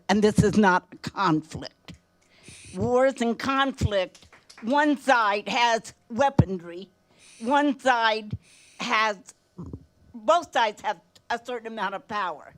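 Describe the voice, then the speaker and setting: Slow-paced older woman speaking out earnestly. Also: medium pitch. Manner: earnest, emphatic, pausing between short phrases. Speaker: public commenter at a council meeting. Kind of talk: impassioned public comment speech.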